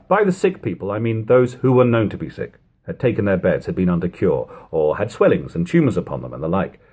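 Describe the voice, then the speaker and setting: A man reading an old-fashioned first-person literary account aloud in a measured, deliberate, emphatic way. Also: mid-range voice, darkish tone. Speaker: audiobook reader.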